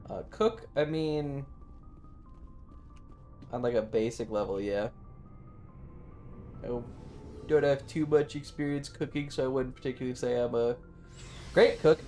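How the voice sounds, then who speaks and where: A man's voice: mid-range voice, dark tone. A man livestreaming a game, chatting casually with viewers in a playful, teasing, sweary way. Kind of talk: casual chat with viewers during gameplay. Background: video game music.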